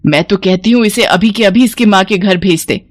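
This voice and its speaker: A woman's voice: mid-range voice, normal speed, no echo, natural voice. A woman acts out an older mother-in-law from a cartoon family tale. She is emotional and emphatic, doting or scolding with full drama. The sound is close and dry. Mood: angry, indignant. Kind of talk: animated moral story voice acting.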